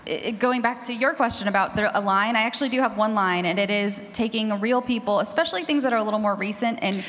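A woman's voice: mid-range voice, fast, a bit bright. A woman speaking informally on a panel as a horror fiction podcaster. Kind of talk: casual panel discussion. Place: large echoey room at a convention panel. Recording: echoey hall panel recording.

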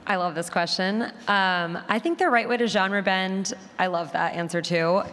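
A woman's voice: medium pitch, moderate pace, a bit bright, a little hiss or hum. A woman speaking conversationally as a producer on a panel, enthusiastic and stressing her words by repeating them. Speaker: audio drama producer, panelist. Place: large room at a live conference panel. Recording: reverberant hall.

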